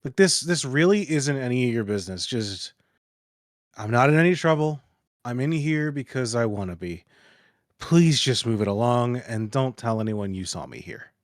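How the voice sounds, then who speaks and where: A man playing a reclusive, defensive hermit in a table read, hesitant and halting in his delivery. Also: medium pitch, expressive, no room sound, clean studio sound, lightly acted. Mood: guarded, pleading. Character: reclusive, defensive ancient man hiding in a cave.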